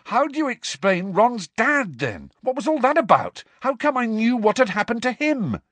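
Guy doing a panicky teen boy wizard, pushy and loud, voice pitched up, very animated, audiobook style. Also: normal speed, breathy edge, dry recording, heavily acted. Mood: angry, shouting. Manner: loud, urgent, shouting when challenged. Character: frantic, hot-tempered teenage boy wizard, urgent and insistent. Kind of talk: audiobook character acting.

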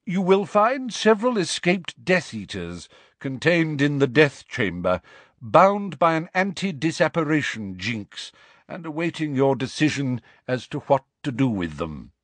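A man voicing an old, calm, commanding male wizard who speaks slowly and gravely. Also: on the high side, dry recording, voice pushed up. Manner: slow, grave, measured.

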